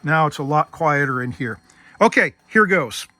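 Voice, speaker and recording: A man presents a casual hands-on demonstration as the host. His voice sits a little high and has a very bright, crisp edge. He explains each step methodically, in an informal way. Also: expressive, moderate pace, no echo. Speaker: host demonstrating vintage radio gear.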